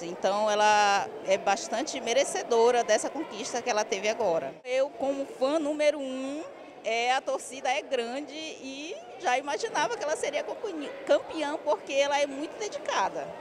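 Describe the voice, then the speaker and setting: A woman's voice: sits high, lively intonation, normal speed, background noise. A woman, the athlete's mother, speaking with proud praise in an interview in an airport hall. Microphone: handheld reporter mic.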